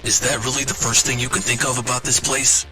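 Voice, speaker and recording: A man's voice: medium pitch, steady tone, a little fast, over music, natural voice. A man playing a brash, crude but loyal young vigilante in a video game, with a cocky, slangy way of talking. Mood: cocky banter. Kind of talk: cinematic video game dialogue.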